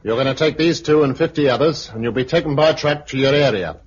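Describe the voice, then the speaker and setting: A male actor plays a bossy, curt leader of a press-gang in a fifties British radio play. His voice sits at a middling pitch, on a muffled old recording with steady hiss. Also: fast, lightly acted. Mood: brisk, bossy. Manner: curt, commanding. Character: brusque, bossy man commanding a press-gang. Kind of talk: 1950s British radio drama acting.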